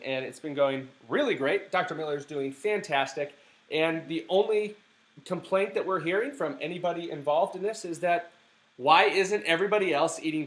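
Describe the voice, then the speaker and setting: Hoarse, fairly high-voiced man hosting a live stream. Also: a bit bright. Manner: enthusiastic, preachy advocacy with many fillers and restarts. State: hoarse, sore throat. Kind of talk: casual live-stream chat. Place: family home.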